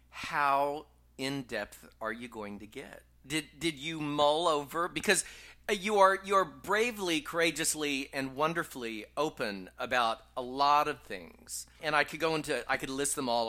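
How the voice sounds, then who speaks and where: An effusive interviewer with a bright, forceful voice who gushes over the guest. The voice sits at a middle pitch and talks in a casual, chatty way. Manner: effusive and gushing with praise.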